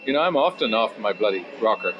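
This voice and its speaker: A man of fifty, a musician chatting casually and philosophically in an interview, blunt and sweary, rambling into animated asides. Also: on the low side, fast, muffled recording, a loud crowd. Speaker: musician and guitar teacher being interviewed.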